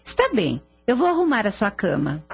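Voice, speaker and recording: A woman's voice: medium pitch, big pitch swings, normal speed, vocal fry. A woman playing a fussing, caring mother of a grown son in a radio soap opera. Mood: caring concern. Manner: scolding yet motherly. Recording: dull lo-fi audio with music behind.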